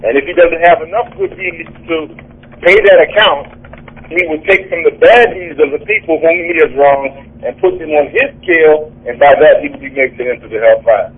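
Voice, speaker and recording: A man's voice: a little high, brisk, a little hiss or hum. A man patiently explaining religious teachings on a call-in radio show, with frequent restarts. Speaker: religious speaker. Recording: phone-like narrow sound.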